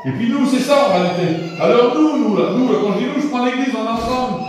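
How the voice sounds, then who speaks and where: A man's voice: extremely high pitch, fast, darkish tone, slight background noise. A man from France preaching an informal sermon to his congregation, colloquial and repetitive, often checking that the room understands. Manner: colloquial, repetitive, asks the room "vous comprenez". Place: church hall. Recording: echoey church hall from far off.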